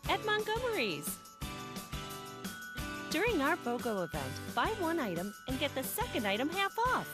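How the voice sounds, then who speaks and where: A woman with a high, lively voice reads a retail ad in an upbeat, salesy way. Loud music plays behind her. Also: moderate pace. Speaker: ad voice-over.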